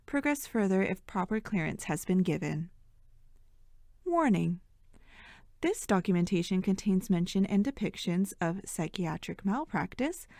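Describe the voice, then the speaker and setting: A woman playing an official announcer, reading a scripted warning in a formal, measured manner. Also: mid-range voice, a little mellow, dry recording, clean studio sound, natural voice. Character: formal female classified-file warning announcer. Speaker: intro announcer. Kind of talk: scripted narration, content warning.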